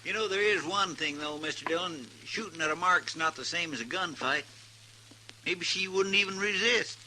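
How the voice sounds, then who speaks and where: An actor playing a folksy, earnest, nervous deputy sidekick in a 1950s radio western, with rustic drawling speech. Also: male voice, high voice, normal speed, lightly acted. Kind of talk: 1950s radio drama acting. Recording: vintage radio recording, faint noise.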